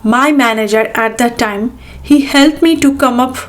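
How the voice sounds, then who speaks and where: A woman's voice: on the high side, normal speed. A middle-aged woman from India, a native Bengali speaker with a Bengali-accented English, hosting a casual podcast monologue with many fillers and restarts. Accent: Bengali-influenced English. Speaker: podcast host.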